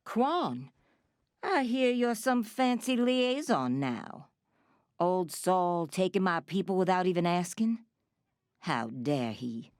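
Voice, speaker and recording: A woman's voice: lowish voice, lively intonation, slow, darkish tone, dry recording, studio quality. An actress voicing a tough, commanding woman sheriff in a video game, blunt and folksy, with a drawl. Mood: sardonic.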